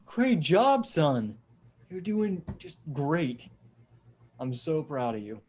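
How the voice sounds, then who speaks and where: A man playing a steady, caring father who leads survivors in a comic table read, earnest and encouraging, on a video call. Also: fairly high pitch, slow pace. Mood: proud. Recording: noisy online video call.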